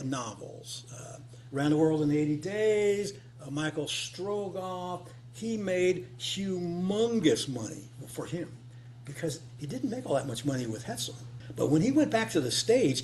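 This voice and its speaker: Higher-voiced man presenting, noisy humming recording. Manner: folksy, animated asides, repeats phrases for emphasis. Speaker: conference speaker, writer of radio dramas. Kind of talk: informal conference presentation, off the cuff. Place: meeting room, live before a small group. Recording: live talk with clear hum and noise.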